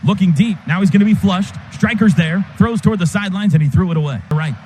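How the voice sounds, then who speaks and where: A man with a high-pitched voice calls football live from a stadium radio booth, with clear noise behind him. His play-by-play comes in excited, clipped bursts that follow the action. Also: fast talker. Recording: noisy stadium broadcast booth.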